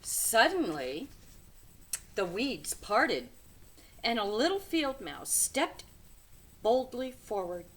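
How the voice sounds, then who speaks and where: A woman reading a children's picture book aloud with dramatic, deliberate phrasing, speaking to young listeners. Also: a little high. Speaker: read-aloud storyteller. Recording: steady background noise.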